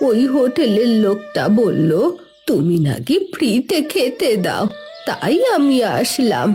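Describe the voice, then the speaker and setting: A woman's voice: fairly high pitch, very animated, measured pace, warm tone, soft background music. Woman acting humble, hungry old beggar woman. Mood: pleading. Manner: pleading, humble. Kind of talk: cartoon voice acting.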